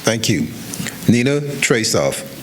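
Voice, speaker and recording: A man's voice: medium pitch, sing-song, takes it slowly. A man moderating a forum, briefly thanking and calling on speakers. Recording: loud noise, clicks, distant sound.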